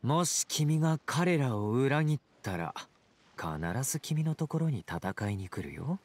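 An actor playing a teasing, playful young fighter in a Japanese game, casual and smooth-talking with an edge. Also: male voice, medium pitch, big pitch swings, a little slow, slight background noise. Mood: probing. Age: young adult. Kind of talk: Japanese anime-game voice acting.

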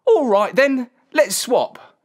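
A woman's voice: fairly high pitch, very animated, takes it slowly, darkish tone, pitched above the performer's own voice. A woman voicing a plucky young shepherd boy in a storybook, polite and earnest. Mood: eager.

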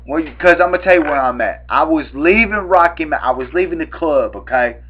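Young southern guy doing a prank call, rambles n stammers, highish voice, lo-fi mic. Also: a little fast, husky. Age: young adult. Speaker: prank caller. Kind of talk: improvised prank phone call. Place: small room at home, indoors. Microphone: webcam at arm's length. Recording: dull, lo-fi webcam audio.

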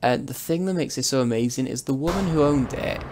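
A man's voice: medium pitch, brisk. A young man from South Wales with a Welsh accent, chatting and telling rambling stories while livestreaming a truck game. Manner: rambling, chatty storytelling with many asides. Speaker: gaming streamer. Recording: loud game noise behind. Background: truck game engine and road sounds.

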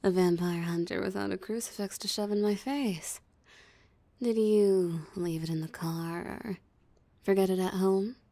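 A woman's voice: mid-range voice, measured pace, warm tone, no echo, natural voice. A woman voicing a possessive, mocking female vampire in an ASMR roleplay, with a teasing, theatrically smug drawl. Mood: mocking, amused. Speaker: voice actor. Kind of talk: ASMR roleplay audio drama.

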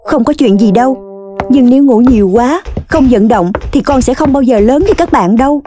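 A woman's voice: sits high, normal speed, mellow, faint music behind, close to an everyday voice. A woman voicing a caring, exasperated mother pig in a children's cartoon, with a motherly, chiding manner. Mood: gently advising.

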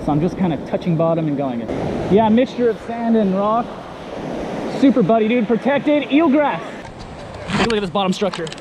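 A man with a high-pitched voice hosts a fishing vlog outdoors. He talks casually and with enthusiasm, in slangy short bursts that he often repeats. Surf and outdoor noise are clearly audible around him. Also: lively intonation, a little fast, long pauses. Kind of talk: casual running commentary while fishing. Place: outdoors on a rocky ocean beach, wading. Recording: noisy outdoor action-camera audio with surf. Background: waves and surf.

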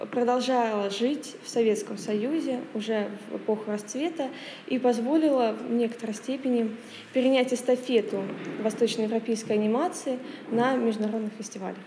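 A woman in her twenties giving an academic presentation in a halting, hesitant way. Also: on the high side, dark tone. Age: 20s. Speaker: speaker presenting a paper. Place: wood-panelled library meeting room, indoors. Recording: echoey room with long reverb, faint noise.

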